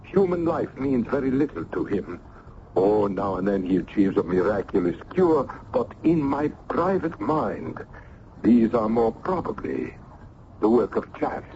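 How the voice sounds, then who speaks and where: An actor playing a learned, genial but wary older medical professor in a radio drama, ruminative and courtly with hesitant pauses. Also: male voice, on the low side, sing-song, a little mellow. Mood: guarded, musing. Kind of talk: old-time radio drama acting. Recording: dull, noisy old radio recording.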